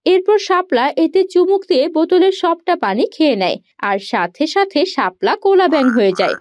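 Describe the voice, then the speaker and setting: A woman's voice: extremely high pitch, animated, brisk, darkish tone. A woman reading scripted fairy tale narration as a cartoon's narrator. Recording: background music under the voice.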